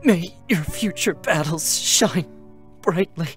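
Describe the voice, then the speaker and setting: Casting: gentle, dying young android boy. Very slow, halting, breathy delivery, game drama, soft music underneath. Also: fairly high pitch. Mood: halting, devoted. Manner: halting, tender, broken phrases. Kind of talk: dramatic scripted reading. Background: faint background music.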